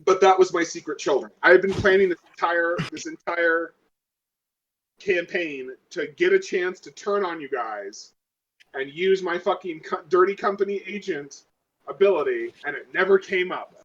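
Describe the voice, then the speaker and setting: Dull, lo-fi audio of a man with a slightly high voice. He is a tabletop game player, chatting and bantering casually. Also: normal speed.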